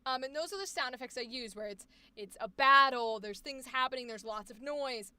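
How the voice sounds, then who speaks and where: A woman coaching voice actors on a live stream, reacting with effusive exclamations and quick asides. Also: high-pitched, bright, forceful tone, clear, resonant tone, dry recording. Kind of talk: live stream commentary on student recordings.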